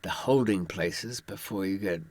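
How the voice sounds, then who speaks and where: A man's voice: deep voice, unhurried, darkish tone, no echo. A man telling anecdotes and giving advice in an informal monologue, with wry, dry humour and asides to the listener. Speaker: author giving prison survival advice from experience.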